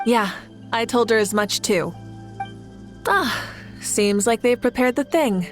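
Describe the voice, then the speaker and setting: A woman's voice: on the high side, slow pace. An actress playing a kind, confident female leader in an anime game, speaking in a composed, reassuring manner. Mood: calm, reassuring. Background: faint music.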